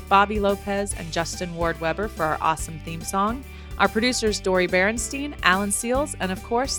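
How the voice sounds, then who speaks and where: A woman co-hosting a podcast, chatting in a supportive and expressive way and signing off the episode. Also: mid-range voice. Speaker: podcast co-host. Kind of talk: casual interview chat.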